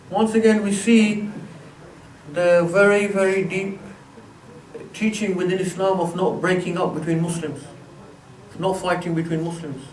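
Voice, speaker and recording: A man's voice: high voice, darkish tone. A man giving a religious lecture in a mosque, speaking conversationally with frequent "you know" fillers. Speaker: Islamic teacher. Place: mosque prayer hall. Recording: echoey mosque hall, distant mic.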